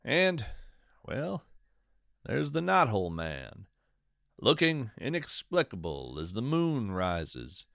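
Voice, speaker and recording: A man playing a whimsical, contemplative naturalist narrating a poetic, folksy podcast monologue in a measured, savoring way. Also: medium pitch, gravelly, a bit bright, dry recording, clean studio sound, full character voice. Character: whimsical wandering male naturalist, contemplative lover of strange nature.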